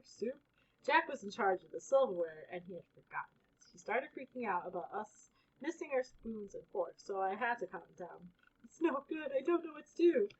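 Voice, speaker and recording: A woman reads a children's story aloud with lively swings in pitch. Her voice is a little husky and sits at an average height. The sound is somewhat dull and lo-fi. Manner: animated, acts out exclamations and characters' lines. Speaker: storybook reader. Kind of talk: expressive children's read-aloud with casual asides.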